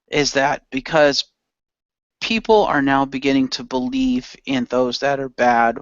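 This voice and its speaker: A man speaking in character as a player in a role-play story, skeptical and deliberate. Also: mid-range voice, a bit bright, no echo, dull audio.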